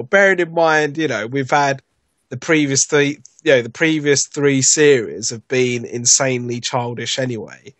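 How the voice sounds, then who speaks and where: A man talking loosely on a podcast, full of fillers and self-corrections. His voice sits at an ordinary middle pitch, and the sound is dry and close with no room around it. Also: fairly bright tone, dry recording. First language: not Japanese. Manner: rambling, lots of fillers and restarts. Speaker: podcast guest. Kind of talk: casual chat.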